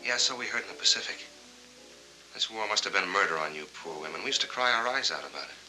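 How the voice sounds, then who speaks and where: An actor playing a hard-boiled, suspicious war veteran in a 1940s film noir, blunt, clipped and sardonic. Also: male voice, lowish voice, expressive, quick pace, sharp tone, soft background music, low-quality recording. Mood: sardonic, accusing. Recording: vintage 1940s film soundtrack.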